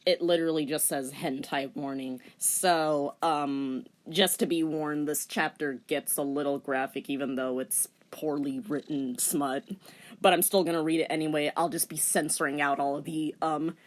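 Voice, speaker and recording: A woman's voice: low-pitched, steady tone, normal speed. A woman giving a comedic dramatic reading with casual commentary, in a sardonic, giggly manner. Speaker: commentator and reader.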